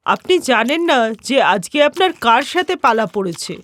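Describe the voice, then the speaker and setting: Woman doing a stern, animated older mother-in-law. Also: fairly high pitch, normal speed, dry recording, voice pushed down. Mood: proud, defiant.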